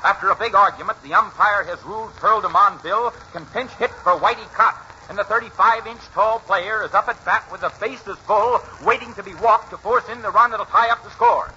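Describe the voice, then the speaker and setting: A man playing an excited ballpark announcer in a 1940s radio drama, drawing out the suspense of each pitch. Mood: hushed suspense. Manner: suspenseful, drawn-out calls bursting into shouts. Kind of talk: 1940s radio play-by-play acting. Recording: noisy, muffled 1940s radio recording.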